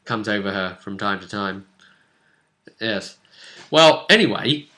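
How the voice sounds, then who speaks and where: A man chatting casually as a game master, recapping a tabletop role-playing session in an animated storytelling way with hesitant asides. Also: lowish voice, takes it slowly.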